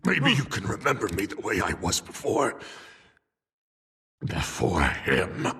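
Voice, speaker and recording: A man acting an unstable, anguished fallen politician in a video game, with a gravelly voice, swinging between rage and despair. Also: sits low, wildly swooping pitch, slow pace, pushed and bright, exaggerated voice. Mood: regretful.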